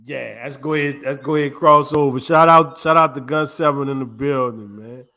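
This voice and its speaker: A man's voice: mid-range voice, fairly quick, bright, forceful tone. A man co-hosting a podcast, chatting casually in a laid-back, slangy way. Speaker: podcast co-host. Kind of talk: casual chat.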